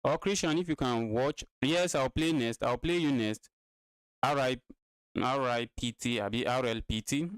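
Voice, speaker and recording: A man's voice: mid-range voice, normal speed, clear, resonant tone, no room sound, poor audio. Male chess streamer, twenties, clean resonant voice, dry sound, chatty and repetitive with viewers. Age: 20s. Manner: talks to viewers, repeats his phrases. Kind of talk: casual live-stream chess commentary.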